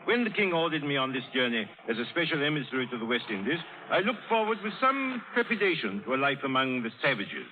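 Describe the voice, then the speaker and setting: An actor playing a gallant, flattering English lord in a radio drama, courtly and urbane. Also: male voice, medium pitch, quick pace, few pauses, natural voice. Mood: charming, amused. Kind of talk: 1930s radio drama acting. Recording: tinny old radio recording, faint noise.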